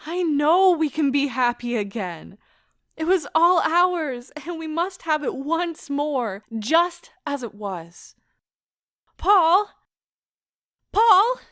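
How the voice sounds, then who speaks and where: An actress playing an anguished, remorseful but defiant wife in an audio drama, speaking in an impassioned, deliberate, theatrical way. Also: female voice, sits high, lively intonation, fairly bright tone, dry recording, clean studio sound, full character voice. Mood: desperate pleading. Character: anguished wife confessing to her husband, remorseful, wounded, bitterly defiant.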